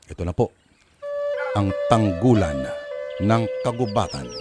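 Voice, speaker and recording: A man's voice: on the low side, animated, a little slow. A man with a Filipino accent, hosting a radio drama with a theatrical scripted introduction. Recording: music behind the voice. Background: music.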